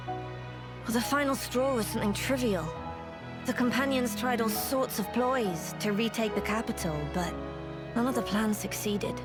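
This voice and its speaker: A woman playing a patient, sincere townswoman in a video game, explaining things politely and gently. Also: a little high, moderate pace, loud music behind the voice, close to an everyday voice. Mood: solemn, explaining. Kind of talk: video game cutscene acting.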